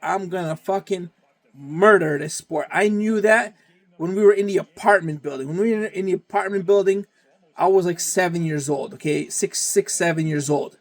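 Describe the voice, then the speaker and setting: Young man, rambling, profane, repeats phrases, slightly high voice. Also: moderate pace. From Canada. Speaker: vlogger. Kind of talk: casual monologue.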